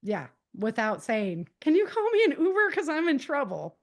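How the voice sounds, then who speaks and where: A woman's voice: high voice, huge pitch swings, normal speed. A woman speaking as a podcast guest, giving practical advice in a casual, conversational way and explaining things with animation.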